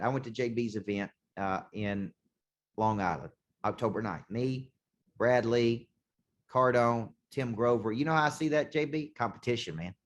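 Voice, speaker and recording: Intense man giving a punchy sales pep talk. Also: mid-range voice. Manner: intense, punchy repetition, slows for emphasis. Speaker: sales coach. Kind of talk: motivational talk to a show host. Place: condo.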